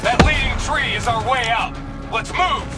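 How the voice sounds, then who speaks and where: An actor playing a tense soldier in a shooter game, barking orders urgently under fire. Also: male voice, extremely high pitch, normal speed. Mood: urgent, alarmed. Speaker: video game character. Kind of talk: military shooter game acting. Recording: loud action music and gunfire behind. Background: loud music, gunfire, vehicles.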